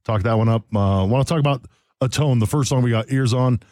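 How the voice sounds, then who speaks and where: A man's voice: medium pitch, fairly quick, a little mellow, no room sound, clean studio sound. A man hosting a radio interview in casual, slangy, informal chat.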